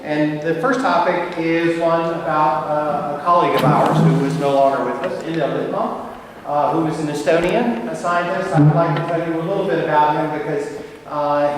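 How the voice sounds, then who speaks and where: A man's voice: on the high side, a little hiss or hum. An older man giving a friendly, rambling academic lecture with many fillers and restarts. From United States. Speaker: guest lecturer. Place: large lecture hall. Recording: echoey hall from far off.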